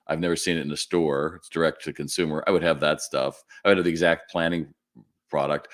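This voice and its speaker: A man speaks in a deep, lightly raspy voice. He sounds like the host of a live question-and-answer stream, casual and conversational. His delivery is plain-spoken and aimed straight at the listeners as he gives practical advice. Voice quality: slightly raspy. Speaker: live Q&A host and retail business advisor.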